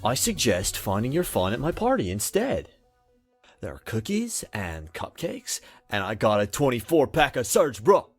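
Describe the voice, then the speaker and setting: A man's voice: mid-range voice, wildly swooping pitch, moderate pace, slightly rough, no room sound, soft background music, full character voice. A man voicing a friendly young slacker in a visual novel game, with a casual, dopey surfer-dude drawl. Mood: relaxed, casual. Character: laid-back, friendly young male slacker party host.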